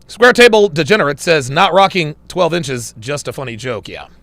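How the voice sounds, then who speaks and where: A man's voice: fairly high pitch, lively intonation, normal speed, fairly bright tone, no echo. A man hosting a casual livestream, reading viewer comments aloud, laughing often and sounding wry, a little strained as he loses his voice. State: losing his voice. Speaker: livestream host reading super chats.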